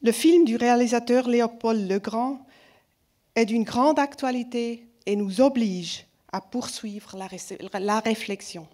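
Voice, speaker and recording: A woman with a fairly high voice gives an award speech as a jury member. She has a foreign accent, as French is not her first language, and she speaks haltingly, searching for words. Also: dark tone. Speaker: festival jury member announcing a prize.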